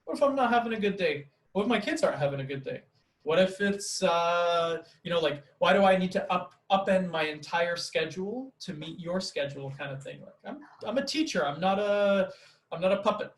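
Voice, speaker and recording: A man's voice: high-pitched, expressive, fairly quick. Chatty man in an echoey room. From Canada. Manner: rambling, many fillers like "like". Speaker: podcast host, teacher. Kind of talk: casual conversation.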